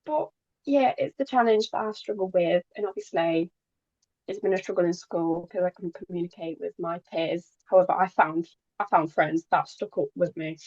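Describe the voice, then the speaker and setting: A woman's voice: on the low side, normal speed, darkish tone, no echo, muffled recording. A young woman from Poland who moved to England as a child, a native Polish speaker chatting casually as an interview guest, sometimes stumbling over her words. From Poland, moved to England at ten. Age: young adult. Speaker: podcast guest, pageant queen.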